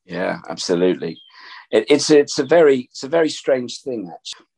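A middle-aged man hosting a podcast with a gravelly voice, talking in a thoughtful, rambling way from an upstairs room at home. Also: mid-range voice, big pitch swings, fairly quick, darkish tone. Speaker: podcast host. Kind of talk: casual reflective chat.

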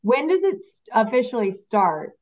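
A woman's voice: on the high side, sing-song, moderate pace, darkish tone, dull audio. Eager woman host from New Orleans. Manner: eager, friendly questioning. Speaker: podcast host. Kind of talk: casual interview chat.

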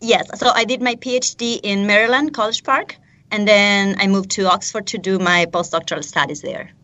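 A woman with an Argentine accent answering interview questions as a guest expert, measured and explanatory. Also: mid-range voice, pushed and bright. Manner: measured and explanatory, occasional repeats. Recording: dull lo-fi line.

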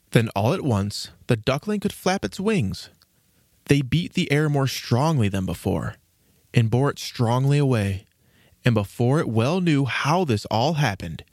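A man narrating a classic fairy tale in an expressive, old-fashioned storytelling manner. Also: medium pitch, no echo, studio quality.

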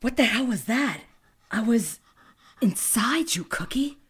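A woman's voice: on the high side, sing-song, unhurried, very noisy. A woman playing a kindly, frank veterinarian with a casual manner, in a naturalistic audio drama. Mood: shocked.

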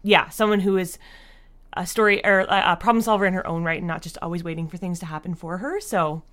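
A woman's voice: on the low side, steady tone, fast, fairly bright tone. A woman answering as an interview guest in a conversational way, with hesitant, filler-heavy phrasing. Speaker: interview guest, audio drama creator.

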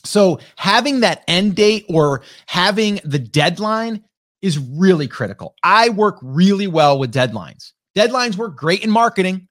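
A man's voice: high-pitched, expressive, a little slow, fairly bright tone. A man in his forties giving a casual motivational talk as a marketing coach, often checking in with his listeners with "okay?" and "right?". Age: 40s.

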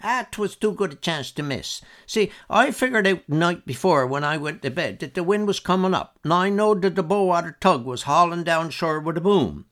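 A man's voice: fairly high pitch, lively intonation, normal speed, hardly any pauses, fairly bright tone, voice pushed up. A man voicing a wiry, stubborn old fisherman in his nineties with a sly, grumbling manner. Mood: boastful. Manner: sly, cantankerous, dialect speech.

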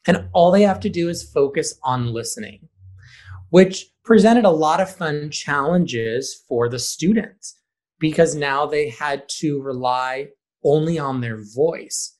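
A man answering interview questions conversationally as a high school theater director, stressing points by repeating words. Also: unhurried, darkish tone.

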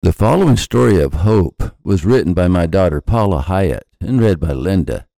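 Older man, low gravelly voice. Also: animated, normal speed, warm tone, dry recording. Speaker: podcast host. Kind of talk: scripted narration, personal introduction.

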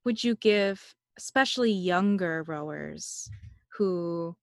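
Chatty host with a faint Filipino accent, dry audio. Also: female voice, fairly low pitch, takes it slowly, no echo. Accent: slight Filipino. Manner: chatty, goes on tangents, laughs often. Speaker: podcast host. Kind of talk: casual interview.

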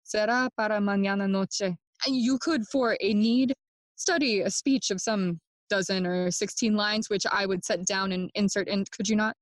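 A woman playing a bitter, grieving young prince in a bilingual classical audio play, speaking theatrical, deliberate verse. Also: mid-range voice, no room sound, lightly acted. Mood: wry, courteous. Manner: theatrical, deliberate, switching between English and Spanish.

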